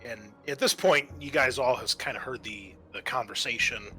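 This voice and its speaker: A man with a bright, mid-pitched voice narrates a tabletop roleplaying game as its game master. He builds scenes haltingly, piece by piece, over faint music. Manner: halting, pieced-together delivery.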